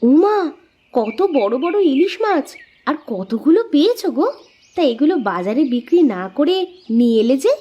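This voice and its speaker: A woman voicing a concerned, dutiful village housewife in a moral-story cartoon, expressive and questioning. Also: high-pitched, moderate pace, dull audio. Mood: amazed. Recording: music behind the voice.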